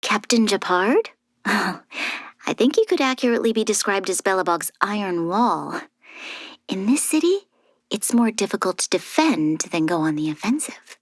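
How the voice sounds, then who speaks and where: A woman voicing a young noble military commander in a video game, formal, dutiful and reflective, speaking in an earnest, measured way with some soft breathiness. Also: mid-range voice, animated, no room sound, studio quality, lightly acted. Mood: fond, wistful. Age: young adult. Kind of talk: scripted video game character voice lines.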